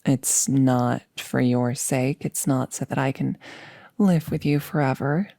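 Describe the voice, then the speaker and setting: A woman's voice: extremely low pitch, fairly even intonation, measured pace, gravelly, dark tone, no echo, clean studio sound, full character voice. A woman playing a nervous but resolute girlfriend in an intimate ASMR audio roleplay, speaking in a hesitant, rambling, earnest way. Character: nervous, resolute woman about to become a vampire.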